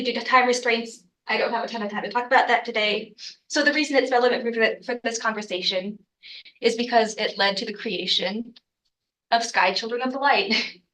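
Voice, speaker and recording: A woman's voice: a little high, a bit bright, reverberant, far from the mic, rough recording. A young adult woman, congested from a cold, giving an earnest student research presentation with frequent ums and uhs. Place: lecture room.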